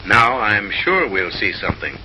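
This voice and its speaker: Male narrator, low-pitched, very bright forceful tone, mock-refined theatrical comic delivery, vintage newsreel style, slightly noisy old film soundtrack. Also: very animated, normal speed.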